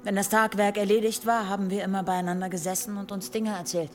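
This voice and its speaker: An actress in a German radio drama playing a guarded medieval warrior woman who speaks hesitantly and deliberately. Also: medium pitch, level tone, darkish tone, faint music behind, close to an everyday voice. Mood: wistful, insistent. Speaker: actor in an audio drama.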